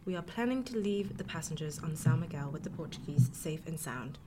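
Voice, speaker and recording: A woman's voice: low-pitched, lively intonation, fairly quick. A woman playing an earnest, defiant young 18th-century lady in a radio drama, using formal period diction. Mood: reassuring. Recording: noisy background ambience.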